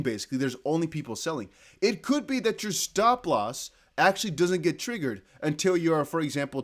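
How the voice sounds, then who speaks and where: A young man with a Dutch accent, hosting a crypto livestream in casual chat, reading viewer comments aloud. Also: on the high side. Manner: chatty, animated, reads viewer comments aloud with quick laughs.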